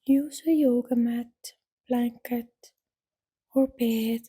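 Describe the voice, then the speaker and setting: A woman guides a deep relaxation session extremely slowly, leaving long gaps between short, gentle phrases. Her voice is soft and breathy, with a very even, unchanging melody and a dark, relaxed tone. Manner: soothing, gentle instruction with long pauses. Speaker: yoga nidra guide. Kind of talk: guided relaxation meditation, scripted.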